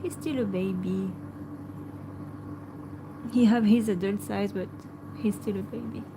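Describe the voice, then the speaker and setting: A woman with a French accent, a native French speaker, hosting a casual livestream and answering viewers in a hesitant way full of fillers, sometimes talking softly to her pet. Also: mid-range voice, steady tone, a little slow, background noise.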